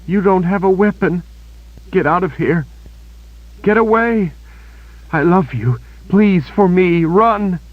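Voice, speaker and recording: A wounded young woman begs and pants in breathless panic, as a male audiobook narrator lifts his voice high to play her. Her voice sits far above his own deep tone. The recording is dry and a little dull. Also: animated, takes it slowly, pauses often, dry recording. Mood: pleading.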